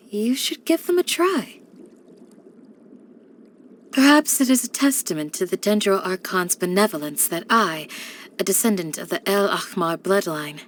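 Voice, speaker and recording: A woman voicing a calm, devoted young village guardian in a video game, speaking in a measured, gentle and formal way. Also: mid-range voice, a little hiss or hum, lightly acted. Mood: warm, welcoming. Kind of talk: scripted game character voice lines.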